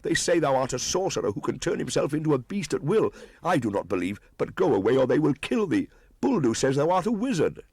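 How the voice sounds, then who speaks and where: A man's voice: a little high, big pitch swings, a little fast, a little mellow, husky, dry recording, pitched above the performer's own voice, full character voice. A man voicing an anguished, loving village mother with pleading, archaic speech, in a storybook reading.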